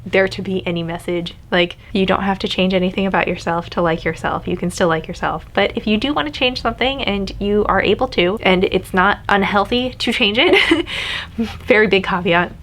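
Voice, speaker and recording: A woman's voice: medium pitch, normal speed, fairly bright tone. Chatty candid young woman vlogger. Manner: candid, chatty, laughs often. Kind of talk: casual personal vlog talk.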